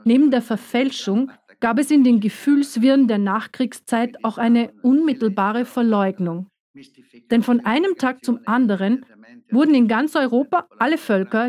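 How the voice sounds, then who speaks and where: Woman, documentary voice-over, earnest, measured, warm tone. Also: a little high. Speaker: voice-over speaker. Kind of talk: first-person documentary voice-over.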